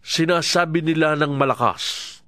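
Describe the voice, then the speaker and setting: A man reading dramatic Bible narration in a solemn, deliberate manner. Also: a little high, sharp tone, no echo, studio quality.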